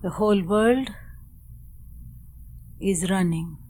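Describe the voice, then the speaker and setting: A woman narrating a guided meditation in a measured, deliberate way, in short phrases with long pauses. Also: medium pitch, warm tone.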